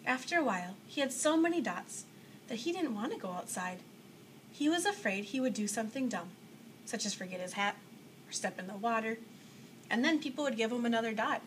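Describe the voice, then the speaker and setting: A woman's voice: fairly high pitch, animated, a little fast. A young adult woman reading a children's story aloud in a small indoor room, with the microphone a short distance away. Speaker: storybook reader. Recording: some background room noise.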